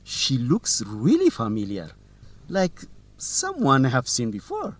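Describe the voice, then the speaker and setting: Bold, animated young student. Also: male voice, mid-range voice, a little slow. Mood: amused, puzzled. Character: bold, curious young male student, aspiring journalist. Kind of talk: educational radio drama dialogue, simple clear English.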